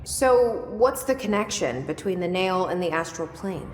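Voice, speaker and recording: An actress playing a young agency director in a video game, terse and probing, with an understated, dry delivery. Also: female voice, on the low side, expressive, a little slow, soft background music. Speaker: game protagonist. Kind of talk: video game dialogue acting. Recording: echoey hall reverb with noisy game ambience.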